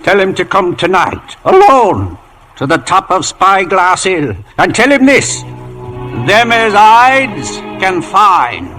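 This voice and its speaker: An actor playing a wary, half-mad marooned sailor in a 1950s radio drama, with drawn-out, cryptic, sing-song delivery. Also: male voice, high voice, sing-song, pushed and bright, heavily acted. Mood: cryptic, insistent. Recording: old radio, music behind.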